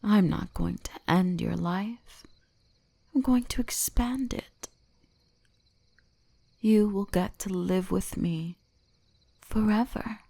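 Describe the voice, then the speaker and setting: A woman voicing a teasing, gently dominant kitsune shrine maiden in an intimate ASMR roleplay, often slipping into whispers. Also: mid-range voice, takes it slowly, creaky, pauses often, breathy edge, dry recording, heavily acted. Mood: gentle, possessive.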